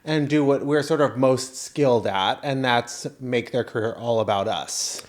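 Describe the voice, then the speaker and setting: A man's voice: medium pitch, a little slow. A man co-hosting a podcast in casual comedic banter, telling stories in a playful, self-mocking way. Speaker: podcast co-host.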